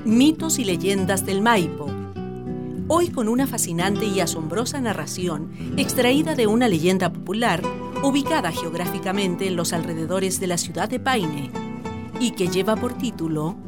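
A woman's voice: medium pitch, lively intonation, moderate pace. A woman narrating a radio drama in a scripted, storytelling presenter's style. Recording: music behind studio narration.

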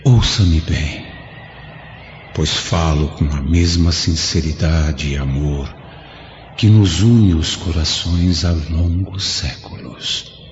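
Man as serene ghostly friend, Brazilian accent, slow solemn preaching, dull lo-fi radio drama. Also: very deep voice, soft background music. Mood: solemn consoling. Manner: solemn, measured, preaching gently. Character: serene, sorrowful luminous spirit of an old friend.

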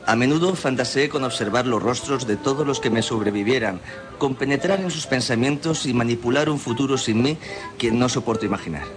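A man's voice: mid-range voice, natural voice. An actor with a Castilian Spanish accent playing a morbidly defiant dying man in a solemn, florid, theatrical radio drama monologue. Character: dying man, a self-styled monster, morbidly defiant, scripting his own funeral. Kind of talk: scripted literary radio monologue.

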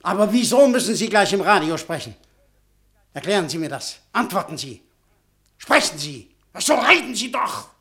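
A man's voice: high voice, very animated, moderate pace, pushed and bright, exaggerated voice. An actor playing a domineering, threatening businessman who barks questions and orders in a radio play. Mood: angry, demanding. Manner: imperious, barking questions and orders. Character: domineering, threatening big-shot businessman, quick to bluster. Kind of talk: German radio play acting.

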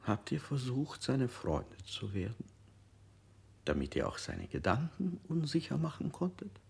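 An actor playing an interrogator who coaxes and questions insistently. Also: male voice, mid-range voice, huge pitch swings, unhurried, creaky, full character voice. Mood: probing. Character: male interrogator, coaxing yet probing. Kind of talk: modernist radio play acting.

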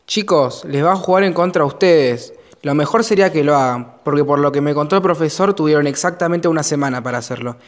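A man plays a school director in an amateur radio play. He addresses pupils calmly and firmly, in a somewhat high male voice. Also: quick pace, natural voice.

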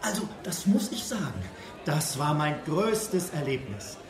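Casting: a fat, starstruck party zealot for radio drama, higher male voice, swooping delivery, crowded pub behind. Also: lively intonation, a little slow, a crowd in the background. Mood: rapturous. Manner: rapturous, repeats himself with emphasis. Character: fat, gushing Nazi fanatic, starstruck by his leader. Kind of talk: German radio drama acting.

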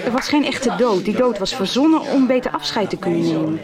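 Actress as earnest woman amid loud chatter. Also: a little high, expressive, a little fast, close to an everyday voice. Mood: puzzled, urgent. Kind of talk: 1990s radio soap acting.

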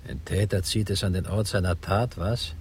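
A man's voice: sits low, moderate pace, slight background noise, lightly acted. An actor playing an irritated, suspicious man in a radio crime drama, curt and accusing. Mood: suspicious, stern.

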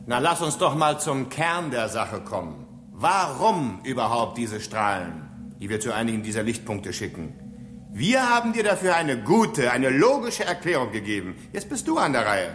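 An actor playing an impatient, sarcastic engineer in a German radio drama, with a blunt manner. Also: male voice, mid-range voice, very animated, moderate pace, fairly bright tone, slight background noise. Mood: challenging. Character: hot-headed human male engineer, impatient and sarcastic.

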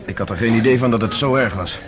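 Sober mid-pitched man in radio drama an actor. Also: fast, crowd noise, close to an everyday voice. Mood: troubled. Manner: sober, reserved. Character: serious, reserved, sceptical male colleague. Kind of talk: Dutch radio play dialogue.

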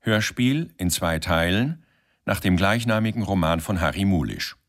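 Low-voiced male radio play announcer. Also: lively intonation, normal speed. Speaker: credits announcer. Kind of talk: scripted announcement.

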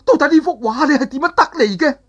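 A male storyteller voicing an aged woman martial arts master who is bitter and grieving, in a high strained falsetto that wavers between laughter and sobbing. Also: expressive, fast talker, a bit bright. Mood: distraught. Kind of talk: traditional storytelling, voiced character dialogue.

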